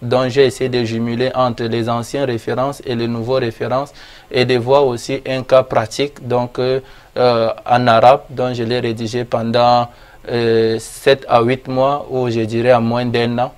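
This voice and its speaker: A man who counts Arabic as his first language and is from Cameroon, answering interview questions in a measured, devout way with Arabic expressions. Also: mid-range voice, flat delivery, darkish tone, smooth. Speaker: imam and author, interview guest.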